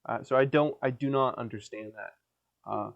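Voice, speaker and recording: Nasally young guy doing a solo podcast from his basement, crude deadpan rambling, dry mouth, kinda coughy. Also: medium pitch, normal speed, creaky, dull audio. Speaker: podcast host. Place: hot home basement.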